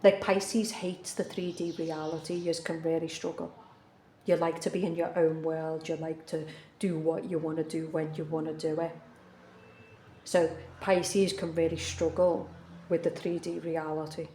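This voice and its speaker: Woman tarot reader, low, flat and mellow voice, fluey, earnest and repetitive; echoey room with faint ambience. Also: unhurried, a little hiss or hum. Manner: earnest, repeats herself for emphasis. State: coming down with the flu. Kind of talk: casual tarot reading monologue.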